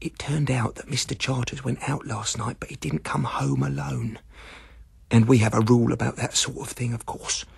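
A man's voice: mid-range voice, steady tone, normal speed, vocal fry, husky, dry recording, exaggerated voice. Earnest, polite teenage lodging-house lad played by a male reader, confiding and deferential, audiobook. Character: earnest, deferential teenage boy of seventeen, landlady's son.

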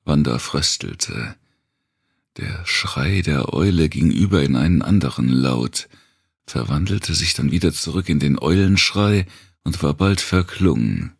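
A man narrates a dark thriller audiobook with an extremely deep voice. It is gravelly and husky, and the delivery is deliberate and built for suspense. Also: dry recording, clean studio sound.

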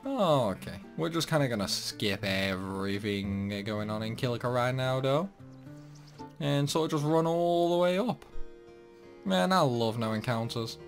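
Casting: young male gaming host, relaxed chatty commentary, faint game music underneath. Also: mid-range voice, a little slow. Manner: chatty, self-deprecating, exclaims at mistakes. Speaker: gameplay channel host.

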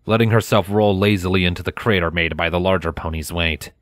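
Low-voiced male story narrator. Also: flat delivery, a little fast, a bit bright, no room sound.

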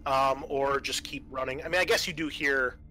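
A man's voice: mid-range voice, moderate pace, sharp tone, faint music behind. A man narrating a tabletop roleplaying game as game master, describing scenes in an informal, improvised, hesitant way.

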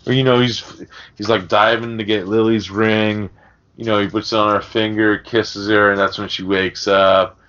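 Deep voiced guy rambling about movies on a podcast, swears a lot, kinda muffled recording. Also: flat delivery, normal speed, fairly bright tone. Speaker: podcast co-host. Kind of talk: casual film discussion. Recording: dull, lo-fi podcast audio.

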